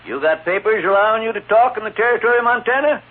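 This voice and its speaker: A man's voice: high voice, fast talker, natural voice. A man playing a crusty, bossy frontier judge in a 1950s Western radio drama, with a folksy American Western drawl. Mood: gruff, bossy. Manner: folksy, gruff, commanding. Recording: tinny 1950s radio recording, hiss.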